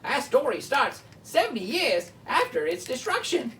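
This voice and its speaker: A high-pitched woman hosts a Bible lesson for children. Her delivery swings up and down in a very animated way, with simple explanations. She sounds a little way from the microphone. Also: normal speed, far from the mic. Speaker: children's Bible show host. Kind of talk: children's Bible teaching, chatting with a puppet.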